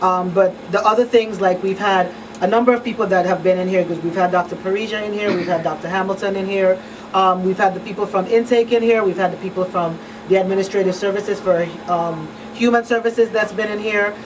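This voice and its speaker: A woman's voice: mid-range voice, steady tone, fairly quick, distant mic. A woman hosting a live radio talk show, chatting with listeners in a small studio. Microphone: studio microphone on boom arm. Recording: off-mic room sound, faint noise.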